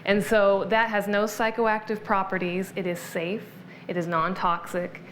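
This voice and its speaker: A woman's voice: medium pitch, little pitch movement, a little slow, a bit bright, slight background noise. A woman guest in an interview, explaining wellness topics in an informative, list-making way. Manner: informative, explanatory, lists benefits. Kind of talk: conversational interview.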